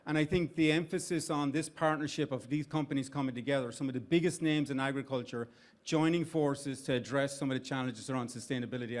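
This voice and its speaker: Middle-aged Irish man, live event speech, conversational, fillers and restarts, at a venue. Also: mid-range voice. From Ireland. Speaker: CEO of an investment firm giving opening remarks.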